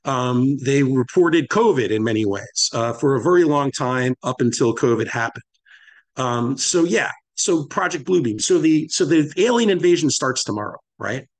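A man's voice: medium pitch, animated, normal speed. Reflective man musing on a podcast. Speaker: podcast host.

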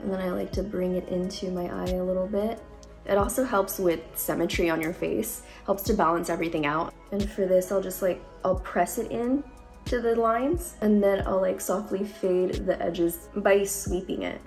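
A woman's voice: mid-range voice, moderate pace, darkish tone, soft background music. Chatty young woman with a cold. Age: young adult. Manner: chatty, lots of likes and ums. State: deeper voice from a cold. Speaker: beauty vlogger. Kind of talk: casual makeup tutorial. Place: bright room at home. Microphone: phone camera at close range.

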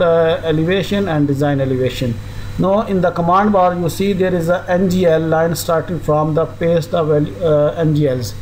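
Male tutorial host, higher-pitched voice, halting and full of fillers. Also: darkish tone, slight background noise, low-quality recording. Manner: halting, many fillers and restarts. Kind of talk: screen-recorded software tutorial.

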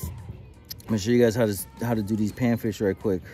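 Excitable American man vlogging outdoors. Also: lowish voice, brisk, soft background music. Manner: informal, excitable, addresses viewers directly. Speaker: fishing vlogger. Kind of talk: casual excited vlog commentary. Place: outdoors by a lake and park. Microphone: handheld phone.

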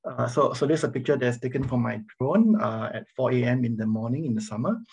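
A middle-aged man from Singapore with a Singaporean accent, giving an informal academic presentation on a video call in a halting manner. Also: medium pitch, vocal fry, darkish tone, husky. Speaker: university professor, guest speaker. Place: online video meeting, speaking over slides. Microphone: video call microphone.

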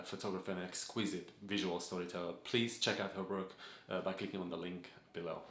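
A man, earnestly introducing his photography channel from a home office, with frequent filler pauses. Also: sits low, a bit bright, echoey room. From Hungary. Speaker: photography vlogger. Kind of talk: talking-head channel introduction. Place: home office room with desk and gaming chair.

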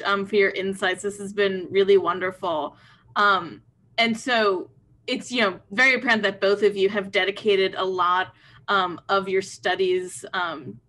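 Young woman, very bright voice, medium pitch, polite hesitant questioner, video call. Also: fairly even intonation, moderate pace. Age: young adult. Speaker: participant asking a question.

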